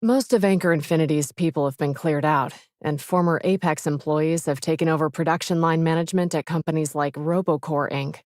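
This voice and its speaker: A woman's voice: sits low, steady tone, brisk, few pauses, a little mellow, dry recording, close to an everyday voice. A woman playing a businesslike official in an anime game, delivering lines like a formal report.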